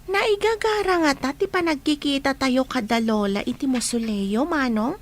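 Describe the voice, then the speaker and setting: A woman's voice: high-pitched, normal speed, lightly acted. A woman with a Filipino accent playing a polite, eager young village girl in a radio comedy drama.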